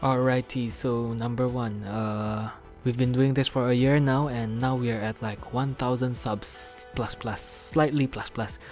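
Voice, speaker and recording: A man's voice: medium pitch, steady tone, unhurried, few pauses, darkish tone. A man with a mixed Indonesian-Singaporean accent and a mid-range voice, co-hosting a casual podcast. He rambles loosely and jokes around, with plenty of filler words and faint music underneath. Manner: joking, loose, filler-heavy. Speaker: podcast co-host. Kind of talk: unscripted casual chat.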